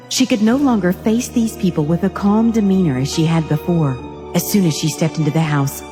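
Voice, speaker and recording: A woman narrating a scripted dramatic story as the storyteller of an audio series. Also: on the low side. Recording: long reverb with music behind. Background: background music.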